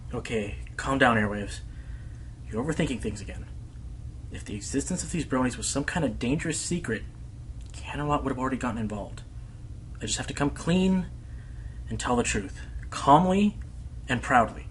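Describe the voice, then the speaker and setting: A man's voice: mid-range voice, animated, normal speed, long pauses, a little hiss or hum, close to an everyday voice, poor audio. Man acting an anxious, rambling pony radio host. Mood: anxious, fretting. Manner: nervous, sighing, rambling. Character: anxious young male pony radio broadcaster, self-doubting. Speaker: voice actor in an audio drama. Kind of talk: fan radio play acting.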